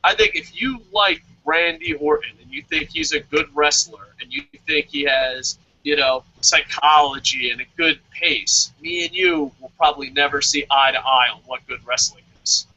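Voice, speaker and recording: Host type: excitable man, casual talk, higher bright voice, muffled audio. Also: moderate pace, hardly any pauses. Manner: excitable, jumps into loud animated quotes, stammers at times. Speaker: wrestling review host. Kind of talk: casual podcast chat. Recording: dull lo-fi audio.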